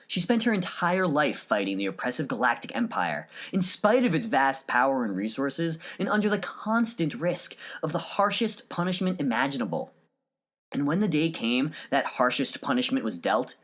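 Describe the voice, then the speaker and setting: A male podcast host reads from a script with an earnest tone. His pitch sits in the middle range for a man and moves up and down in a lively way. He enunciates carefully.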